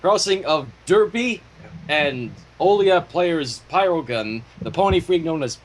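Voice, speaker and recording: A man's voice: on the high side, lively intonation, unhurried, a little hiss or hum, rough recording. A man chatting casually on a livestream over an online voice call. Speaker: animator and livestream guest.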